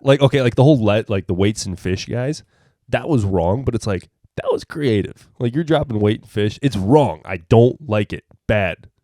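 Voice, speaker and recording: Male co-host, low register, casual and jokey, speaking in slang. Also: lively intonation, moderate pace, mellow, dry recording, clean studio sound. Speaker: podcast co-host. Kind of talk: casual joking banter.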